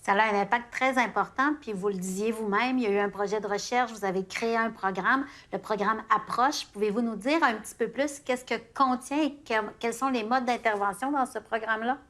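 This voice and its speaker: A woman co-hosts a TV show, giving clear, scripted introductions and questions. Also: on the high side, fairly quick, few pauses, fairly bright tone. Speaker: TV co-host. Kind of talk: TV show presentation.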